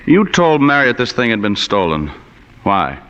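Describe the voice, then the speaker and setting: An actor playing an unflappable, sardonic, slangy hard-boiled private detective in 1940s radio acting style. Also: male voice, on the low side, big pitch swings, moderate pace, a bit bright. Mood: dry, probing. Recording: dull vintage radio recording, long hall reverb.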